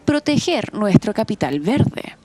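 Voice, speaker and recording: A woman interpreting a lecture phrase by phrase, measured and restarting words as she catches up. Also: medium pitch, very animated, a crowd in the background. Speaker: simultaneous interpreter. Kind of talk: consecutive interpretation into Spanish. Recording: audience noise clearly audible.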